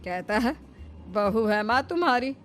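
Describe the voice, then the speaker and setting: A woman's voice: fairly high pitch, lively intonation, a little slow, a bit bright. A woman voicing an ailing, bossy old mother-in-law in a dramatized moral story. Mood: aggrieved. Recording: music behind the voice.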